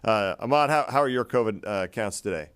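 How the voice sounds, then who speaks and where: A man's voice: lowish voice, brisk, a bit bright, clean tone. A man hosting a panel of doctors, conversational and collegial, with a folksy touch. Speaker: host, physician moderator.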